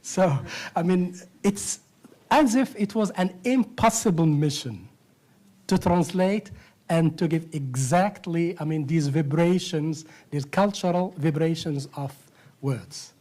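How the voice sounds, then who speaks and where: A woman's voice: low voice, takes it slowly, warm tone. A woman speaking English as a second language, reflecting aloud as a panelist. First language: not English.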